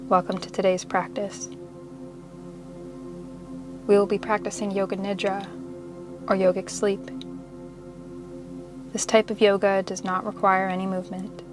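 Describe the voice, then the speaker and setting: A woman leading a guided meditation in a soothing, measured, unhurried manner, pausing between short phrases of instruction. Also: medium pitch, level tone. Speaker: yoga nidra meditation guide. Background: meditation music.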